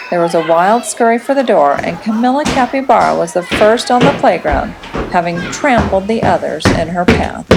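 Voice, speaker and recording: A woman reading a storybook aloud as a teacher would, in expressive, dramatic children's narration. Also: medium pitch, vocal fry.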